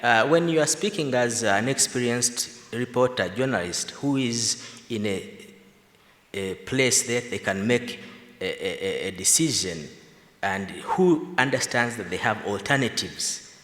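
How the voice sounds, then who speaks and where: A man's voice: medium pitch, measured pace. A man speaking as a panelist, arguing his points with animation. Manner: animated, argumentative, laughs between points. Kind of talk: panel discussion remarks. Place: large conference hall. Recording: echoey conference hall with long reverb.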